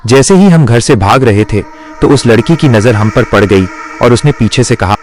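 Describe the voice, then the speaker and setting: A man's voice: mid-range voice, expressive, normal speed, faint music behind. A man narrating a scripted horror story in the first person.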